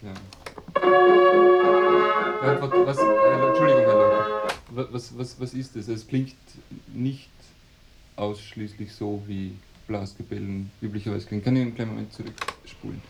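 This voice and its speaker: A man's voice: fairly low pitch, fairly even intonation, moderate pace, vocal fry, long pauses, over loud music, poor audio. A man acting a persistent radio reporter in a mock documentary, formally polite but pressing, often restarting his sentences. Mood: puzzled, curious. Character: insistent, probing male radio reporter investigating a mystery.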